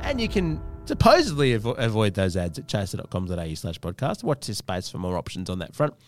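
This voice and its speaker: A man's voice: on the low side, wildly swooping pitch, quick pace. A man hosting a podcast in casual, comic banter. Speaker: podcast host.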